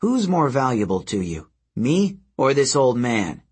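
A man's voice: mid-range voice, sing-song, unhurried, darkish tone, breathy edge. A man voicing a stubborn teenage boy hero in a firm, defiant tone.

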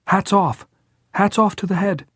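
A man's voice: high voice, fairly even intonation, measured pace, fairly bright tone, slightly rough, dry recording, studio quality, voice pushed up, exaggerated voice. A man voicing a frantic, grieving boy in a breaking treble voice for an audiobook. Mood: frantic, excited.

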